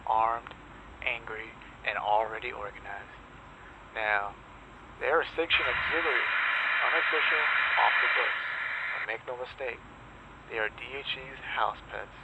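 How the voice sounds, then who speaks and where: A man playing an ominous, sardonic pirate radio host in a zombie apocalypse drama, speaking in deliberate dramatic pauses. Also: medium pitch, close to an everyday voice. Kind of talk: dramatic scripted radio monologue. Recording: muffled noisy lo-fi radio broadcast.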